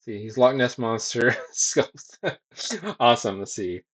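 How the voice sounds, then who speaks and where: A man's voice: mid-range voice, expressive, slow, a bit bright. A young man with a soothing voice, casually chatting with viewers during a livestream and reading chat aloud with frequent ums. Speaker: streamer and digital sculptor. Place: home streaming room with green lighting.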